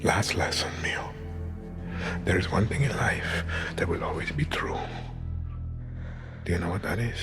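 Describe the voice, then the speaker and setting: An actor playing an older, cold and commanding dictator, with a gravelly voice, a Latin American Spanish accent and slow, deliberate speech. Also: male voice, low-pitched. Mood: solemn, intimate. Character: ailing male dictator and father, commanding, cold, obsessive. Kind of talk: cinematic game acting. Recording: reverberant hall, music underneath.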